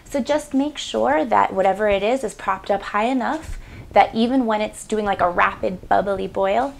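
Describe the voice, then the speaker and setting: A woman's voice: mid-range voice, creaky, a little hiss or hum. Upbeat woman hosting a home cooking show. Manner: upbeat, chatty, playful asides. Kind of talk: scripted cooking demonstration. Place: small home kitchen indoors. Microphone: lapel mic.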